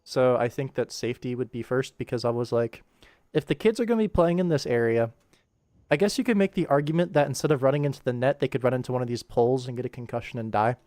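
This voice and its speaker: A man's voice: mid-range voice, fast talker, darkish tone, dry recording. A young man talking casually as a livestream host, rambling playfully and thinking aloud as he works. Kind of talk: casual livestream chat while working.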